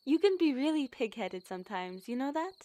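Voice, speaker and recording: Plucky young field operative, very high voice, animated swoops, girlish, teasing, audio drama. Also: fairly quick, slight background noise. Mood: indignant. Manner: girlish, complaining, playful.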